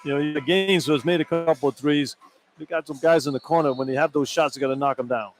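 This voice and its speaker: A man's voice: fairly high pitch, fast talker, soft background music. A man working as a radio analyst, explaining plays in a conversational way during live basketball commentary. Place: courtside in a large indoor arena. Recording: live arena broadcast with background noise.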